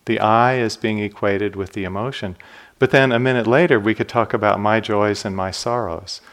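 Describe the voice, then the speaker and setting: A meditation teacher with a fairly low voice leads a dharma talk at a retreat center. He speaks calmly and reflectively and keeps turning questions back to his listeners. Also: moderate pace.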